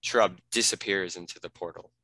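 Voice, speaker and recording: A man's voice: low voice, fairly even intonation, moderate pace, sharp tone, no echo, rough recording. A man reading scripted narration and stage directions for a fantasy script read.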